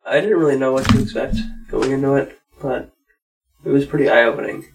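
Gravelly-voiced man, relaxed co-host. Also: medium pitch, fairly quick, soft background music, poor audio. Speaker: podcast co-host. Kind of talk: casual conversation.

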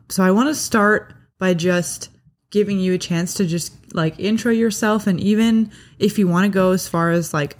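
A young woman hosting a podcast in a casual family chat, bubbly and chatty with lots of fillers. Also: on the low side. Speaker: podcast host.

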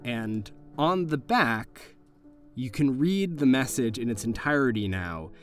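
A man's voice: medium pitch, lively intonation, measured pace, soft background music. Male game master, mid-range voice, improvising spooky scenes in casual, slangy narration. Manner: conversational, slangy storytelling.

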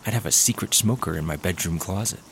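An actor playing a breezy, argumentative, cocky slacker cop in a sci-fi comedy audio drama. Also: male voice, deep voice, fast talker, creaky, a bit bright, a little hiss or hum. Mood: mischievous. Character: cocky, laid-back, hungry buddy-cop slacker.

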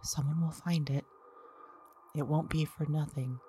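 A woman's voice: deep voice, fairly even intonation, a little slow, warm tone, slight background noise. A woman playing a frightened woman who whispers, repeats herself and breaks off mid-sentence. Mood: hushed. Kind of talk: audio drama monologue.

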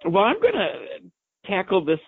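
A man's voice: sits high, wildly swooping pitch, moderate pace, breathy edge, no room sound. A man, a horse science expert, answering listener questions in an explanatory way and sometimes correcting himself mid-sentence. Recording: dry tinny phone line.

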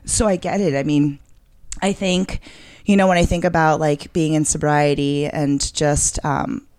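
A woman's voice: deep voice, steady tone, measured pace, a little mellow, dry recording, studio quality. A woman from Queens, New York, telling her personal story as a podcast guest, reflective and full of fillers. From New York City, Queens. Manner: reflective, with many likes and you-knows.

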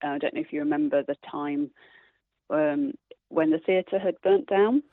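A low-pitched woman speaks over a narrow, phone-like connection with very little room sound. She is a guest in a casual radio interview, explaining things agreeably as an amateur theatre performer. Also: normal speed, no room sound. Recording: thin phone-like radio line.

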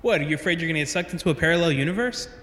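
An actor playing a theatrical, wisecracking lab scientist in an audio drama, grandiose and teasing. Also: male voice, fairly high pitch, animated, rapid-fire, a bit bright. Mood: teasing, flippant. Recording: echoey reverberant lab sound, faint noise.

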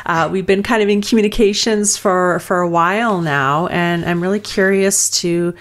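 Woman interview host, affirming and gentle, low-pitched voice. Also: normal speed. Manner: warm, affirming, gentle backchannels. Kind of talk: hosting an interview.

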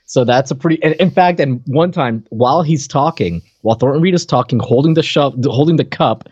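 A man's voice: mid-range voice, a little fast, darkish tone, breathy edge, no room sound. Dry, slightly muffled man in casual chat. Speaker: podcast co-host. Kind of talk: casual chat, retelling favorite gags.

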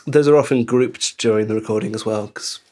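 A young adult man who grew up in Belgium, an actor chatting as an interview guest and telling lively anecdotes. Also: lowish voice, fairly quick. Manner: animated storyteller, laughs easily. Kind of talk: casual interview chat, anecdotes.

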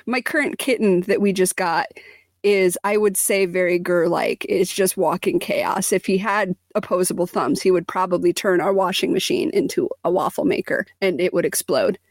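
A woman's voice: on the low side, moderate pace, no room sound. A woman in her forties co-hosting a podcast, speaking with lots of inflection in a casual, chatty way. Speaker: podcast co-host. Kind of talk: casual chat.

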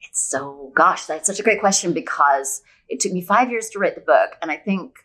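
A woman's voice: lowish voice, animated, normal speed, bright, forceful tone. A middle-aged woman from Scotland answering interview questions as a guest, talking conversationally and reflectively, explaining things with examples. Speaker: guest, novelist.

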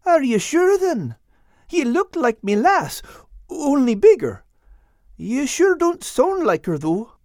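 A little storybook tomcat, pitched far up and bouncing all over. He is nervous and excitable, stammering through folksy exclamations in a dry, close recording. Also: slow, dry recording, heavily acted. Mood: confused. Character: small, excitable, nervous male cat, comically flustered. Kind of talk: children's storybook character voice.

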